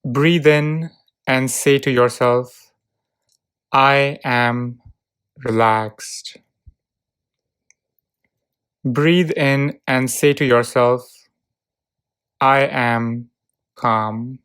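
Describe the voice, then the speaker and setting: A narrator leading a guided visualization in a calm, soothing, measured way, leaving long pauses between short phrases. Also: medium pitch, clear, resonant tone. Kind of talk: guided visualization, relaxation script.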